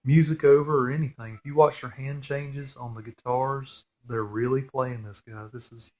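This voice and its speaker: A chatty man in his forties talks through a movie, his voice nasal and stuffy from a head cold. He rambles in a relaxed, conversational way and gets openly excited about what's on screen. His pitch sits right in the middle of the usual range for a man. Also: moderate pace. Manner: rambling, chatty, geeky enthusiasm. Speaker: fan film commentator. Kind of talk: casual movie commentary.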